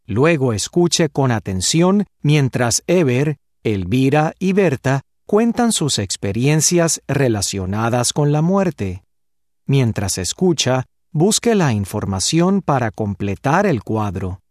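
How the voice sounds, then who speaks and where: Brief: male narrator for a language course, slow and clear, lots of pitch movement. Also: very animated, darkish tone, no echo, clean studio sound. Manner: slow, clear, deliberate reading. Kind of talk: scripted instructional narration.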